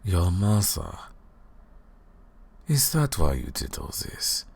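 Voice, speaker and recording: A man voicing a cruel, mocking vampire lord in an ASMR roleplay, speaking slowly and deliberately with a gravelly, breathy voice that drops into whispers. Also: a bass voice, full character voice. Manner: slow, deliberate, taunting, dropping into whispers.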